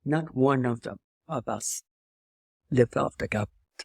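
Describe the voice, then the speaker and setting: A woman's voice: extremely low pitch, measured pace, vocal fry, darkish tone, breathy edge, dry recording, clean studio sound. Older woman with a slight French accent. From Europe. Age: 80s. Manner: rambling, with restarts and emphatic asides. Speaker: interview guest. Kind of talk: personal storytelling in conversation.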